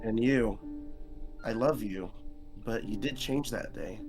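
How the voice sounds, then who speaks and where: An earnest young animal guy from a visual novel, acted out by a man, speaking in a mid-pitched male voice. He is guilt-ridden and halting, dramatic in delivery, with guitar music clearly playing behind. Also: close to an everyday voice. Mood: pained, guilty. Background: guitar game music.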